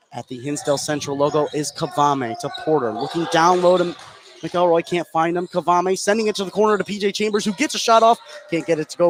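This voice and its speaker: A man with a fairly high voice gives live play-by-play of a basketball game. His delivery swells as the action heats up. Clear gym noise runs behind him. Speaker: play-by-play announcer. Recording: clearly noisy gym ambience.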